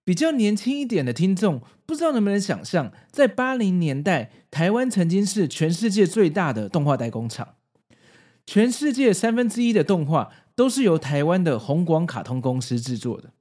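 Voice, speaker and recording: Man, Taiwanese Mandarin accent, slightly high-pitched, very varied intonation, podcast host, narration with casual commentary. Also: big pitch swings, moderate pace, darkish tone.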